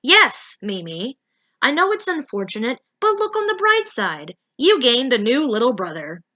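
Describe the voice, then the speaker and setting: A woman's voice: a little high, huge pitch swings, normal speed, bright, forceful tone, exaggerated voice. A woman playing an omniscient storybook narrator who chats to a character in a breezy, placating way.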